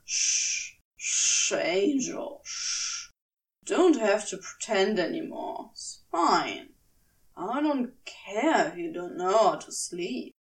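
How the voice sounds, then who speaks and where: A woman puts on a tipsy, condescending man for an audiobook story. He drawls slowly and slurs, coaxing with loose, lively intonation. The voice sits a little away from the microphone. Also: medium pitch, distant mic, voice pushed down, low-quality recording. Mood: slurred, soothing. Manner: slurred, loose, scornful drawl. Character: drunk, patronizing, coaxing man sprawled on a sofa.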